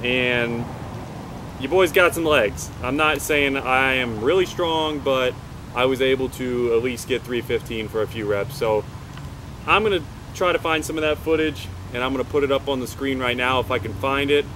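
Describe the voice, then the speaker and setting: A man's voice: medium pitch, normal speed. A young man in his twenties talking casually to a handheld camera outdoors, easygoing and rambling with plenty of fillers. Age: 20s. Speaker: fitness vlogger. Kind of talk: casual vlog talk to camera. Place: outdoors by a river and on a lawn. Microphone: handheld camera at arm's length. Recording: outdoor noise behind the voice.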